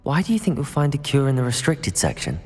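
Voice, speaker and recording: A man's voice: medium pitch, sing-song, quick pace, darkish tone, breathy edge, soft background music. A man voicing a curious, eager young student wizard in a video game, earnest and politely cautious. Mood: inquisitive. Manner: earnest, inquisitive.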